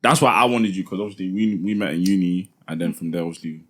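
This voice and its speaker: A young woman hosts a podcast in casual chat, her voice extremely deep. She talks very fast and fires off eager questions. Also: fairly bright tone. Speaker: podcast host.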